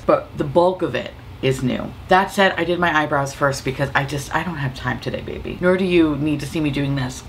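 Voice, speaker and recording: A young woman with a slightly raspy voice from a cold, chatting playfully and profanely while trying on makeup at home. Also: sits low, fairly bright tone, slight background noise. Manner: playful, profane, self-mocking. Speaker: beauty vlogger. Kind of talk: casual makeup vlog chat.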